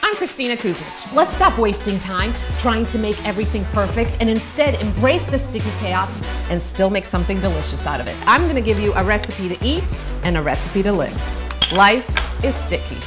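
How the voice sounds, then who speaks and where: A woman from Croatia, around her forties, hosting a comedic cooking show in a chatty, self-mocking, animated way. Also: mid-range voice, moderate pace. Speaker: host of a cooking and parenting show. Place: home-style kitchen, indoors. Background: music.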